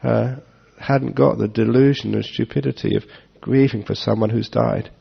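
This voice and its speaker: A man giving a relaxed Dharma talk to an audience, joking and chuckling as he answers questions. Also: medium pitch, moderate pace, vocal fry, husky. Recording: dull lo-fi talk recording.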